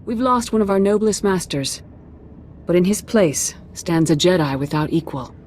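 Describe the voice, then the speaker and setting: Woman as a low-voiced, dignified Jedi leader. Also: expressive, a little mellow, a little hiss or hum, close to an everyday voice. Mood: solemn. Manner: formal, measured, authoritative. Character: dignified, gracious female Jedi council leader. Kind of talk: video game acting.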